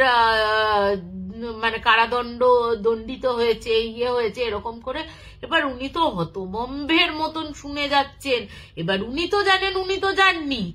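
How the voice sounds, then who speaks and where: A woman telling a story informally and unscripted, in a halting, conversational manner, as a storyteller who acts out dialogue. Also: high-pitched, bright, forceful tone.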